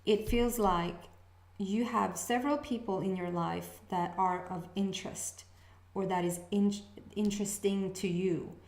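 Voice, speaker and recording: A woman with a Swedish accent, a native Swedish speaker, doing a casual intuitive reading to camera as a channel host. She talks in a hesitant, musing way and pauses to sense messages. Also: mid-range voice, fairly even intonation, darkish tone, echoey room. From Sweden. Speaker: astrology reader, channel host.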